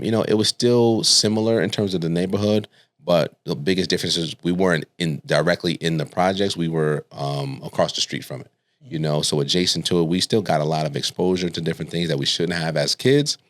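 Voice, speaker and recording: A man from Connecticut speaks as a podcast guest in a very low voice. The sound is close and dry. He tells personal stories in a casual, colloquial way, often checking in with his listener. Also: fairly quick, no room sound. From Stamford, Connecticut, United States. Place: studio.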